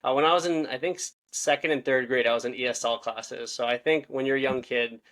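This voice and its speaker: A young man in his twenties, originally from Israel, talking as a podcast guest. He speaks quickly in a loose, rambling way, often circling back to correct himself. His pitch sits in a middle range. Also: fairly even intonation, a bit bright. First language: Russian. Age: 20s. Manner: fast, rambling, self-correcting. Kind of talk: casual interview talk.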